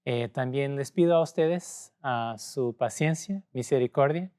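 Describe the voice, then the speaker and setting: A man from Portugal with a Portuguese accent, a native Portuguese speaker, preaching a sermon as a pastor in a measured way with pauses. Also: medium pitch, mellow, smooth. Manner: measured, pausing, speaking a second language.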